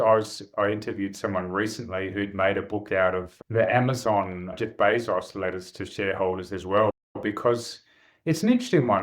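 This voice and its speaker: A man hosting an interview podcast, asking brisk, upbeat questions. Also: fairly low pitch. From Australia. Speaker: podcast host.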